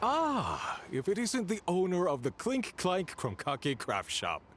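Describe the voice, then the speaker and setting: Fawning male desk clerk in a game, smooth, obsequious, wildly swinging pitch. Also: huge pitch swings, a little slow, fairly bright tone, slight background noise, exaggerated voice. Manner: fawning and smooth, flustered when pressed.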